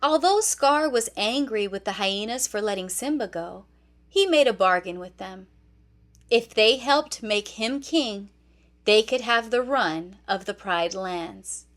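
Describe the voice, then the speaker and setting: A woman narrating a children's read-along storybook in an expressive, deliberate storytelling manner. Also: mid-range voice.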